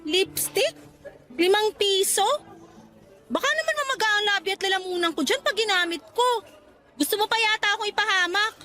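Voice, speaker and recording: A woman's voice: very high voice, normal speed, pauses often, sharp tone, faint music behind. A woman acting an emotive, principled young Filipina in a radio drama, testy but easily delighted. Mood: indignant.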